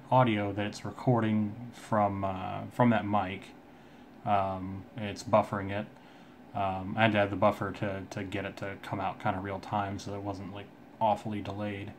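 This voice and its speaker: Man, flat lowish voice, halting casual tech demo, indoor desk, light background noise. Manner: hesitant, halting delivery. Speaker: IT technician and hobbyist demonstrating a project. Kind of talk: casual unscripted project walkthrough.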